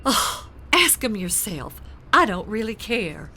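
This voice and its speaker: A woman's voice: medium pitch, sing-song, slow pace, pushed and bright, husky, exaggerated voice. A woman playing an amorous, bored politician's wife in a comedy audio drama, flirtatious and dismissive. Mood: pouty, dismissive.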